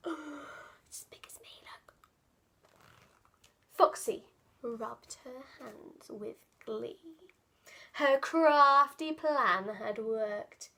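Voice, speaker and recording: A woman reading a children's picture book aloud, dramatic and playful, often dropping into hushed asides. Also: fairly high pitch. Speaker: children's storyteller.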